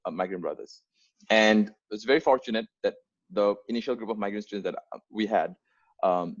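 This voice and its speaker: Earnest young man on a muffled call. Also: fairly low pitch. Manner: earnest, frequent fillers and restarts. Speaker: founder giving an onboarding talk. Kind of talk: unscripted presentation to volunteers.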